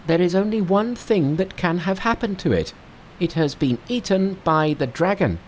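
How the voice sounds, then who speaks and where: A man's voice: moderate pace, a little hiss or hum, rough recording. A man voicing a brash, boastful, hot-tempered monkey king in a storybook reading. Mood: certain. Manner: shrill, excitable, boastful.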